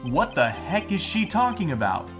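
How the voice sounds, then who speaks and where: A man's voice: a little high, very animated, moderate pace, music in the background. A man voicing an earnest, awkward young male lawyer in a fan-dubbed game, hesitant and a little wry. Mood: persuading. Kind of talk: fan-dub video game dialogue and inner narration.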